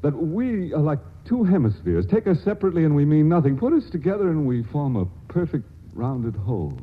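A man's voice: fairly high pitch, sing-song, brisk. An actor playing an earnest, shy male chemist in love, talking hesitantly and stammering in 1940s radio drama style. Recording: muffled old radio broadcast with faint hiss.

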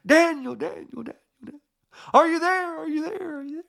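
A man playing an anxious ancient king in a Bible story. The voice is pushed high and raised, calling out urgently with repeated phrases. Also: a little fast, gravelly, fairly bright tone, exaggerated voice.

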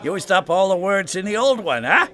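A man's voice: sits high, big pitch swings, normal speed, sharp tone, full character voice. A man playing a cheery wisecracking vendor. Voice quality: folksy, wry. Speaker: newsstand vendor.

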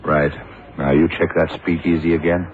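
An actor in a 1970s radio drama playing a shrewd, brooding detective who talks in deliberate, clipped phrases. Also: male voice, very deep voice, little pitch movement. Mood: brooding, businesslike. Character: shrewd, brooding male police detective in charge. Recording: muffled old radio broadcast, background din.

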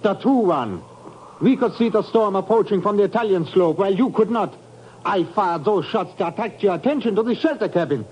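Evasive, superior mountain climber, ornate speech, slightly high voice, old radio play. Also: male voice, expressive, brisk, husky, dull audio. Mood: indignant, defensive. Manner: formal, ornate, coolly superior. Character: haughty, evasive male European mountaineering expert. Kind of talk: 1950s radio drama acting. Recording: faintly noisy 1950s radio recording.

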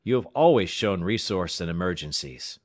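A man's voice: low-pitched, animated, moderate pace, no echo, clean studio sound. A man voicing a middle-aged railway superintendent, hearty and commanding, in an audiobook story. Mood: sharp, urgent. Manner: hearty, then urgent and clipped.

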